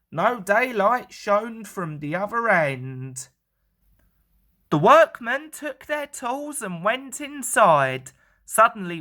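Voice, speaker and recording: A woman tells a children's story with very lively intonation. The tone is bright and crisp-edged, and the pace is slow and deliberate. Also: mid-range voice.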